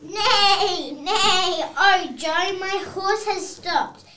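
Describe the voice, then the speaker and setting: A very high, bright little cowgirl acted by a child. The lines are read slowly as a play, in an echoey room with some noise. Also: heavily acted. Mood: playful. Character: plucky cowgirl girl, complaining child actor.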